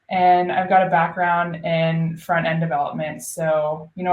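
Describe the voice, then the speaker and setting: Lowish-voiced woman hosting a webinar, upbeat and chatty, roomy echo. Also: a little hiss or hum. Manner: chatty, upbeat, frequent ums and likes. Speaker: solutions engineer, webinar host.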